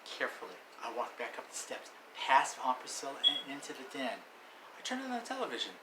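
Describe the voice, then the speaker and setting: A man voicing a folksy uncle who tells a tall tale around a campfire in a story reading, telling it like excited play-by-play. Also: mid-range voice, fairly quick. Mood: storytelling. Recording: echoey room with faint noise.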